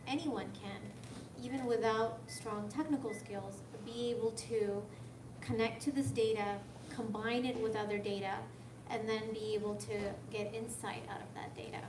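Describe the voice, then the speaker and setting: Soft-spoken Canadian-raised woman, higher pitch, hesitant informal lecturer, picked up from a distance. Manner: hesitant, conversational, asks rhetorical questions. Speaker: guest speaker, software development manager. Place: lecture room at a tech school. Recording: echoey room, distant mic, noisy background.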